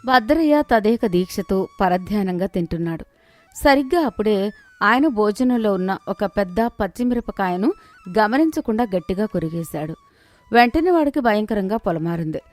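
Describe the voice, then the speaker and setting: A woman's voice: medium pitch, expressive, moderate pace. A woman narrating a scripted moral story. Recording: dry studio, music underneath. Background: background music.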